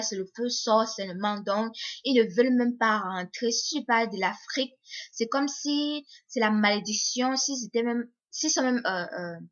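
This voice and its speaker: A young woman, vlogging to her viewers in a hesitant way, often repeating words and restarting. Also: on the high side. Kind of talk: casual monologue to viewers. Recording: slightly muffled lo-fi audio.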